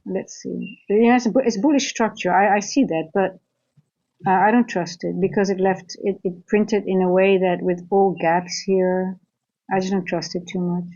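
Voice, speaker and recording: A woman's voice: mid-range voice, fairly even intonation, normal speed, mellow, lo-fi sound. A woman from the Netherlands with a Dutch accent, thinking aloud in live trading commentary, often restarting and asking "right?". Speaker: trading coach.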